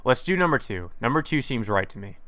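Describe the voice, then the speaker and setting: A man's voice: mid-range voice, very animated, fairly quick, bright, forceful tone, no echo. Young man reading a visual novel. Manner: earnest, self-correcting reading. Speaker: let's play narrator. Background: guitar music.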